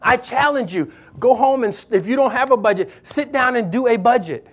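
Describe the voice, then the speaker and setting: A man's voice: very high-pitched, animated, a little fast. A male pastor preaching a sermon, emphatic and exhorting, repeating key phrases for weight.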